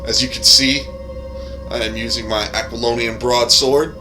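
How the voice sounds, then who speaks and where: A man's voice: mid-range voice, steady tone, moderate pace. A man giving casual, rambling, explanatory gameplay commentary with some offhand swearing, as a gamer showing off his own mod. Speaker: game streamer and modder. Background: music.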